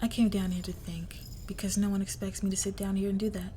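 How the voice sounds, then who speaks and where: A woman playing a crime boss's cold, menacing young daughter in an intimate audio roleplay, speaking in a hushed, deliberate near-whisper. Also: medium pitch, little pitch movement, slight background noise, close to an everyday voice. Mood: pensive, intimate. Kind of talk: intimate scripted audio roleplay, dramatic monologue.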